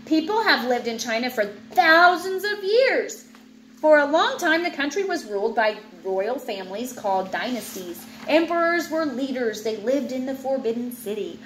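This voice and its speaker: A woman in her thirties reading a children's nonfiction book aloud at home, clear and steady, stumbling over hard names and adding small asides. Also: high-pitched, moderate pace, echoey room, a little hiss or hum, low-quality recording. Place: home living room with ceiling fan. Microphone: webcam at desk distance.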